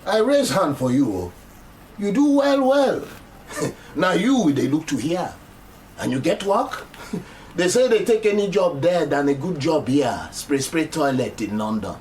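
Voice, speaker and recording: Wily Nigerian fixer, dramatically expressive. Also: male voice, on the high side, a little slow, slight background noise, poor audio. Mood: jovial, mocking. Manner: pidgin English, theatrical, sly and taunting. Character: wily, menacing Nigerian male fixer who acts as the law. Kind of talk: radio drama acting.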